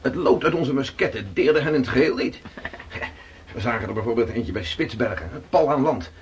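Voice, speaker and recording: Hearty sailor played by a man, radio play, middling pitch, faint noise and rumble. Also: expressive, brisk, lightly acted. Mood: earnest storytelling. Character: hearty seafaring sailor home from an Arctic voyage. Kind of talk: Dutch radio drama acting. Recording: noisy radio play recording with low rumble.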